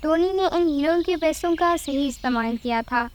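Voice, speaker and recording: A woman's voice: sits high, moderate pace. A woman reading scripted narration for a children's cartoon moral story.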